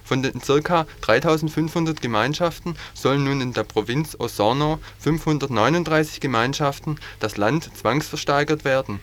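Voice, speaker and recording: A man reading a news item aloud in an earnest, steady way on a 1980s community radio broadcast. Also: fairly high pitch, normal speed, few pauses. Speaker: news reader. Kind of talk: read news bulletin.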